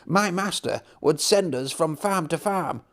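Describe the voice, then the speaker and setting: Man voicing a wistful old traction engine, lively pitch. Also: unhurried. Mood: earnest, proud. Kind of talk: children's storybook character reading.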